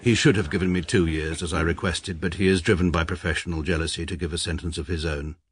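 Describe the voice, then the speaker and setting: An actor playing a precise, pompous middle-aged barrister who narrates in a formal, deadpan way. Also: male voice, sits low, fast talker, natural voice. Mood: dry. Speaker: narrator and lead character. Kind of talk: radio drama narration.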